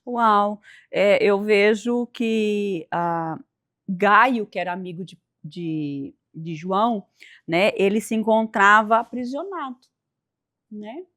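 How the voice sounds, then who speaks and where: A woman's voice: medium pitch, takes it slowly, a bit bright. A woman hosting a faith-based interview, enthusiastic and preacherly, often exclaiming "uau" at her guest's answers. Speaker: host.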